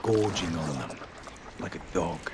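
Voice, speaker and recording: An actor playing a grave warrior storyteller in a fantasy video game, speaking with solemn, measured theatricality. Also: male voice, low-pitched, expressive, heavy background noise. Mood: grim, solemn. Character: grave warrior storyteller of a fallen general's fate. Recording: loud game ambience behind voice.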